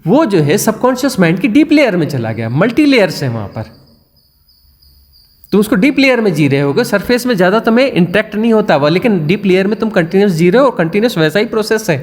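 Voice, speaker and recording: A man's voice: high voice, big pitch swings, fast talker, in a large hall. Man giving a spiritual talk. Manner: repetitive, mimics inner self-talk, rhetorical questions. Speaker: spiritual teacher. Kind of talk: satsang discourse.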